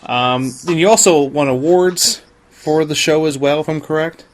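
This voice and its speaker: A man's voice: on the high side, moderate pace. A man hosting a podcast in casual chat, rambling and often interrupting himself. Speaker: podcast host.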